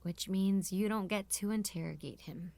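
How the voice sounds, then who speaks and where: A woman's voice: mid-range voice, normal speed, gravelly, warm tone, no echo, lightly acted. A woman playing a defiant, no-nonsense military strategist in a scripted drama, speaking in a firm, assertive way. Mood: impatient, businesslike. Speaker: voice actor in audio drama.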